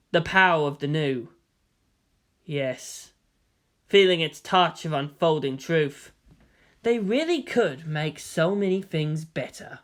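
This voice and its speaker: A man reading a story aloud as a narrator, with expressive, deliberate phrasing and a dramatic scripted style. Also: high voice. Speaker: fan fiction narrator.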